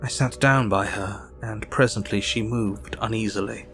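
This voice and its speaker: An actor playing a melancholy Victorian doctor who narrates his diary in an audio drama, sombre, measured and weary. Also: fairly low pitch, fairly bright tone, soft background music. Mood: sombre, uneasy.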